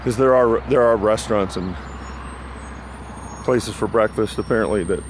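A man giving casual, rambling, low-key vlog narration on a phone while out walking. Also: moderate pace. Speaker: vlogger. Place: outdoors on a sidewalk beside a busy road. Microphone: handheld phone. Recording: outdoor phone recording with traffic noise. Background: passing cars, street ambience.

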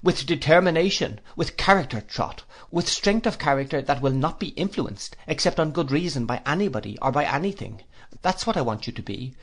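A man voicing a brisk, strong-willed elderly aunt in an audiobook, speaking decisively and with emphasis. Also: a little high, lively intonation, a bit bright, voice pushed up. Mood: emphatic. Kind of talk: audiobook character reading.

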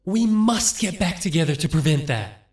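A man's voice: on the high side, lively intonation, normal speed, a little mellow, lightly acted. A man voicing an anxious, pleading jester monster in a video game. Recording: echoey, faint music behind.

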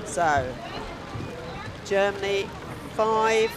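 Male sports commentator, live match call, high pitch, very noisy outdoor background. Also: drawn-out words. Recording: loud crowd and outdoor ambient noise. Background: noisy outdoor venue ambience, crowd.

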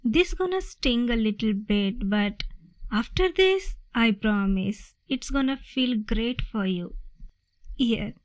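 A woman voicing a sweet, gentle vampire in a soft, hushed, reassuring ASMR roleplay, coaxing her human prey. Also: a little high, expressive, takes it slowly, no room sound, rough recording. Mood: soothing.

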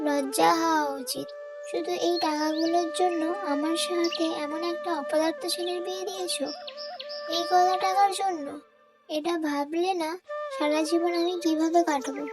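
Lady doing a gentle wronged young girl for a cartoon, really high, earnest pleading, music under it. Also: steady tone, moderate pace. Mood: reproachful. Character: gentle, wronged young stepdaughter and new bride. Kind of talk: cartoon moral-story voice acting.

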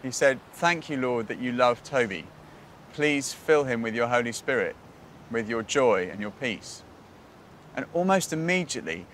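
Casting: British male presenter, thirties, earnest faith storytelling, measured phrases and pauses, music underneath. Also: medium pitch, a bit bright, a little hiss or hum. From Britain. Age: 30s.

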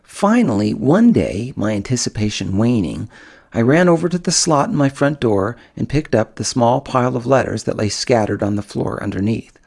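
A man reading first-person audiobook narration in an expressive, dramatic storytelling manner. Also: medium pitch, moderate pace, creaky.

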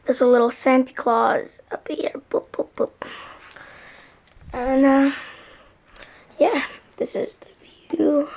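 A woman's voice: sits high, fairly even intonation, slow, creaky, long pauses. A young woman chatting casually and half-whispering while showing something off to a camera. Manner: soft, half-whispered, laid-back. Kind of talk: casual chat, showing off a gingerbread house.

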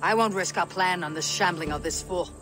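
Casting: male actor as stern Viking leader, high-set voice, blunt orders, game cinematic with music. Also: brisk, lightly acted. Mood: commanding. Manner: blunt, commanding. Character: stern, commanding young Viking warrior leader. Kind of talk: video game cutscene acting.

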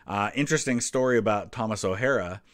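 A middle-aged man with an American accent talks in a low register. He explains things casually with chatty asides. The sound is dry and close to the mic. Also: fast, fairly bright tone, no echo. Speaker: host reacting to a history quiz video.

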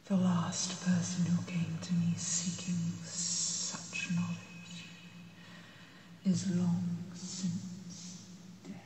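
A woman voicing an ancient, proud female dragon, gentle but menacing, in a breathy, deliberate ASMR roleplay monologue. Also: fairly low pitch, flat delivery. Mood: measured, curious. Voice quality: breathy, whispery. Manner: slow, deliberate, imperious. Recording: cavernous long reverb, distant voice.

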